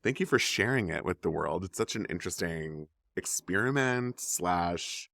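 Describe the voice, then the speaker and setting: A man's voice: low voice, animated, normal speed, gravelly, a bit bright, no echo, studio quality. A man hosting a podcast interview in a casual, chatty, informal way, often breaking off and restarting his thought. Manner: informal, with filler-like slang and broken-off starts. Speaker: podcast host.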